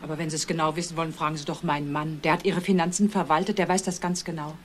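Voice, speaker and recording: A composed, matter-of-fact wife in a crime radio play , acted by a woman. Her slightly low voice explains things plainly, with faint noise in the background. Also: level tone, rapid-fire, close to an everyday voice. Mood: factual, reserved. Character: matter-of-fact married woman giving police testimony. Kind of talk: German crime radio drama acting.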